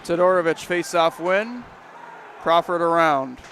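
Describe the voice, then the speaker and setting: Brisk male hockey play-by-play announcer. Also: fairly high pitch, a bit bright, slight background noise. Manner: brisk, names each pass as it happens. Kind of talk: live hockey commentary.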